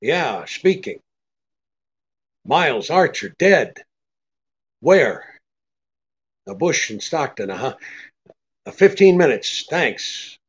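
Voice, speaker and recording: An actor playing a cool, brisk, sardonic private detective in an old-time radio drama, with a clipped, take-charge manner. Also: male voice, on the high side, big pitch swings. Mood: terse, urgent. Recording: dull lo-fi radio-play recording.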